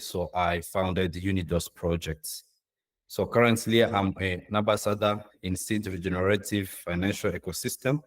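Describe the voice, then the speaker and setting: A man from East or Central Africa presenting his own work as a guest on a video call, in earnest, halting, non-native English phrasing. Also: deep voice. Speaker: guest speaker, social entrepreneur.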